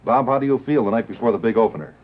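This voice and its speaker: A man's voice: mid-range voice, rapid-fire. American man doing a 50s tv sponsor spot, mid voice, muffled old audio. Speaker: commercial announcer and interviewer. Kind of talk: 1950s live television sponsor spot. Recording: dull muffled 1950s TV broadcast.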